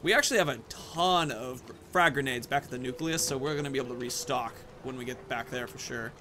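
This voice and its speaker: A man's voice: mid-range voice, brisk, background noise. A man hosting a gaming video, giving casual commentary and thinking aloud about his moves and choices. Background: video game music.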